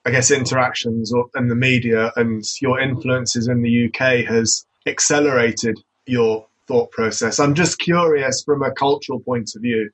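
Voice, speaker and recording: A man's voice: medium pitch, fairly even intonation, normal speed, few pauses, rough recording. A man hosting a podcast, asking curious, rambling questions with frequent restarts. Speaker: podcast host. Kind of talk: conversational interview.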